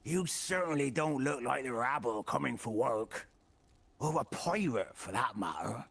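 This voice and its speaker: An actor playing a roguish, chummy port guide in a fantasy game, with a raspy, breezy, colloquial delivery. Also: male voice, mid-range voice, expressive, a little slow, a bit bright, exaggerated voice.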